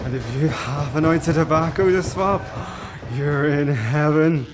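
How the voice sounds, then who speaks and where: A man's voice: a little high, a little slow, music in the background, natural voice. An actor playing a carefree drug-using prisoner, relishing his monologue in a radio play. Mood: gleeful, dreamy.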